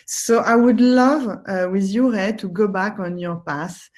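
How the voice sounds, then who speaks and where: A woman's voice: mid-range voice, measured pace, a little mellow. A woman asking casual questions as the host of an interview.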